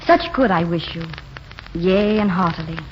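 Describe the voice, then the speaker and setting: An actress playing a wistful, dreamy young waitress in a 1940s radio drama, speaking in a plain, earnest way. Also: female voice, lowish voice, lively intonation, lightly acted. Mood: reverent, reciting. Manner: plainspoken and earnest, slower when reciting verse. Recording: muffled, noisy 1940s radio broadcast.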